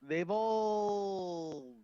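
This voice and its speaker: A man's voice: sits high, drawn-out words, dull audio, crowd noise. A man of about forty chatting on a podcast, talkative and forever asking "you know what I mean?". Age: around 40. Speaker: podcast co-host guest. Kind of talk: casual podcast chat.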